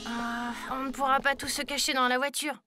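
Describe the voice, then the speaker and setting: Woman as a high-voiced, self-doubting cartoon cook. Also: level tone, brisk, over music, lightly acted. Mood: determined. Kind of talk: French cartoon dub acting.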